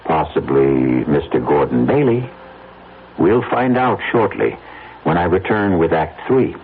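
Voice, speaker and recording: A man with an American accent hosting a radio mystery, narrating a script in a wry, deliberate, dramatic way. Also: deep voice, animated. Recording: dull radio broadcast recording. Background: prominent music.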